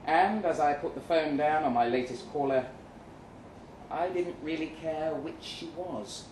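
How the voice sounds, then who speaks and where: A man performing a live short-story reading as a weary, jaded agent, speaking deliberately with dramatic pauses. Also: fairly high pitch, darkish tone, close to an everyday voice. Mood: wistful. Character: weary, jaded male agent narrating his own story. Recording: roomy live venue, some noise.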